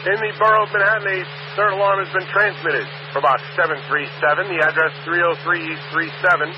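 Man, New York fire dispatcher, clipped methodical radio calls, muffled radio with static. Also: fairly high pitch.

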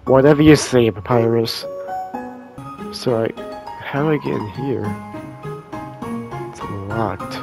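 A man commentates casually on a video game at an unhurried pace, with game music clearly playing behind him. His delivery is laid-back and sarcastic, with offhand swearing, at an average male pitch. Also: darkish tone. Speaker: gaming content creator playing and commenting. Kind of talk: casual let's play commentary.

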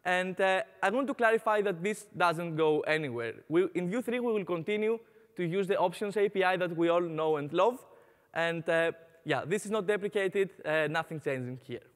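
A man's voice: high voice, moderate pace, in a large hall. A young man from Greece with a Greek accent giving a conference talk on stage, upbeat, with frequent "uh" fillers. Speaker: conference speaker. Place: large conference hall on stage.

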